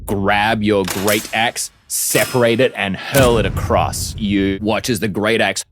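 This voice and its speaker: Male game master, lowish voice, descriptive tabletop storytelling, slight background noise. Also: fairly even intonation, a little slow. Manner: vivid second-person scene description. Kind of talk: narrating a tabletop roleplaying game.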